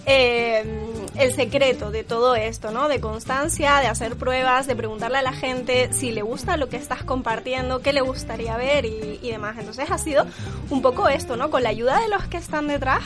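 A woman from Tenerife with a softened Canarian accent, answering casually as an interview guest, with hesitant phrasing and frequent tag questions. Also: high voice, normal speed. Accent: Canarian Spanish softened by years abroad. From Tenerife, Canary Islands. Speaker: interview guest, social media creator. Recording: music behind the voice. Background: music.

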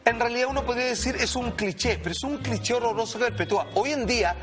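A man with a Chilean accent giving animated, slangy, emphatic commentary, speaking straight to his listeners. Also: very high-pitched, quick pace, over music. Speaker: talk show commentator.

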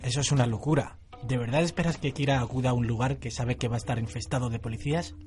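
An actor playing an earnest, concerned police investigator in a radio drama. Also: male voice, mid-range voice, steady tone, fast, darkish tone, faint music behind, close to an everyday voice.

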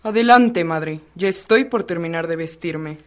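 A woman's voice: on the low side, measured pace, lightly acted. A woman playing a polite young son of a wealthy family in a radio soap opera. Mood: eager, courteous.

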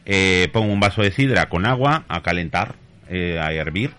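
A man's voice: sits low, lively intonation, moderate pace, fairly bright tone, clean tone. A man, a craftsman interviewed as a guest, explaining things in casual conversation. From Mexico.

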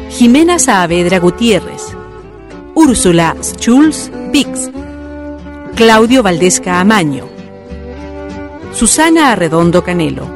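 A woman with a Chilean accent narrating and announcing a community radio drama in a measured way. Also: mid-range voice.

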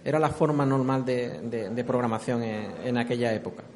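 A middle-aged man speaks with an accent from Spain in a medium-pitched voice. He recounts things conversationally as an interviewee, explaining step by step and often doubling back on his words. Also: a little fast, darkish tone. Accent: Spanish from Spain. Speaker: interviewee, former video game programmer.

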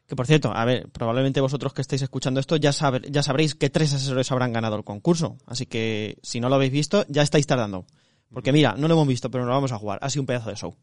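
A man's voice: mid-range voice, racing, no room sound, studio quality. A man with a Spanish accent co-hosting a finance podcast, chatty and colloquial with wry humour. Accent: Spanish from Spain. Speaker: podcast co-host. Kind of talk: casual chat.

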